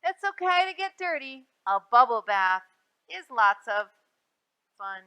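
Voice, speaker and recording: A woman hosting a storytime for young children, reading aloud in a sing-song way with drawn-out, emphasized phrases and simple prompts to her listeners. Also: a little high, very animated, sharp tone, clean tone. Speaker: children's librarian and storytime host.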